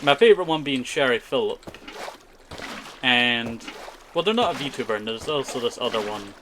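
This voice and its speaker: A male game streamer from Scotland, a native Scottish Gaelic speaker, talks in a medium-pitched voice. He rambles casually through his commentary, echoing his own short phrases as he chats to viewers. Clear noise sits behind the voice. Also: lively intonation, moderate pace, a bit bright. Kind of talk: casual live gaming commentary with chat.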